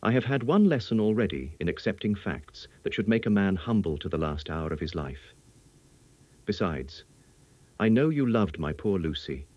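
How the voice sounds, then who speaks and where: A British man voicing a grieving, courtly young gentleman in an audiobook, speaking earnestly and formally with emotion. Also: deep voice, expressive, moderate pace, no room sound, dull audio. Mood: earnest, humble.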